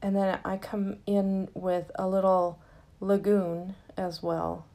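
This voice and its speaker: A woman giving a casual, gently explanatory tutorial narration in an unhurried way, as the host of a craft lesson. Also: medium pitch, level tone.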